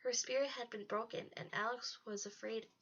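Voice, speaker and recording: A woman's voice: a little high, steady tone, brisk, background noise. A woman narrating a storybook as a read-aloud. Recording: dull lo-fi home recording.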